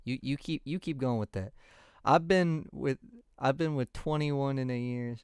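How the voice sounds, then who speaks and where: A man's voice: medium pitch, unhurried, a little mellow, clear, resonant tone, no room sound, clean studio sound. A man in his twenties giving laid-back, chatty reaction commentary on music. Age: 20s.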